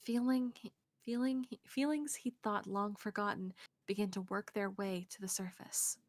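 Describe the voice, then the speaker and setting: Woman, mid-pitched, expressive visual novel narrator, game stream, dry close-miked sound. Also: measured pace, dry recording. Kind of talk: scripted narration read aloud on a game stream. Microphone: close streaming mic, dry sound.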